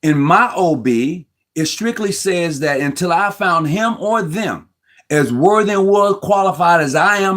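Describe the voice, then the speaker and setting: A man speaking as host of an informal talk, pressing his view with emphasis. His voice sits a touch high, and he stumbles and restarts words as he reasons aloud. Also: fairly bright tone. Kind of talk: casual Masonic discussion.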